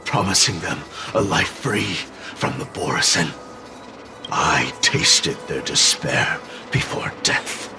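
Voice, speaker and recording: Gravelly, taunting male spirit. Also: sits low, big pitch swings, takes it slowly, over music, full character voice. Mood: menacing. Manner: taunting, theatrical, menacing. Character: sinister, mocking male spirit companion, bloodthirsty, tempting, scornful. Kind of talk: dramatic video game voice acting.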